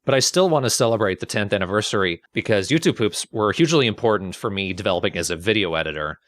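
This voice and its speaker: A man's voice: on the low side, animated, quick pace, a bit bright, dry recording. A man talking casually and informally about himself as a video creator, in a reflective, slightly self-deprecating way. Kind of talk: casual personal reflective talk.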